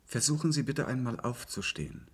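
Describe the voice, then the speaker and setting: Composed, polite clinic doctor. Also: male voice, mid-range voice, very animated, slightly rough. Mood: calm, clinical. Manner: courteous, measured instructions. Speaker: radio drama actor. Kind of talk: German science-fiction radio play acting.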